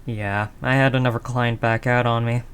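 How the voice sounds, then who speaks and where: A man's voice: medium pitch, flat delivery, moderate pace, fairly bright tone, clean tone, lightly acted. A man playing a world-weary, doting mafia boss in an intimate roleplay, talking in teasing street slang with pet names. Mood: scornful, calm. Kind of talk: intimate scripted roleplay audio drama monologue.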